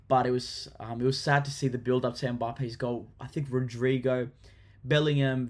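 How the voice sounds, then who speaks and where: A young Australian man chatting casually to camera about his fantasy football team, enthusiastic, with lots of ums and restarts. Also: mid-range voice. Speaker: football vlogger.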